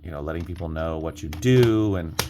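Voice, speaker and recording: Low-voiced man chatting casually, noisy recording. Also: moderate pace. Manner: rambling, repeats and rephrases himself. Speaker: online reseller sharing sales tips. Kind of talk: casual reselling vlog talk. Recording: clearly audible background noise.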